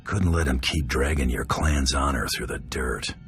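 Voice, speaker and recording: Cocky space bounty hunter in a game, super low gruff voice, dry sarcasm, some music behind. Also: male voice, normal speed, fairly bright tone. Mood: matter-of-fact. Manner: dry, sardonic, unflappable. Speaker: player character in a video game. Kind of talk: video game cutscene acting.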